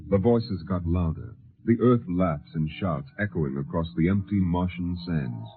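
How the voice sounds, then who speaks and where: A man narrating a 1950s radio drama in a solemn, poetic, measured manner. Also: deep voice, a little hiss or hum. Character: solemn storyteller of a space tale. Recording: narrow-band old radio recording with music.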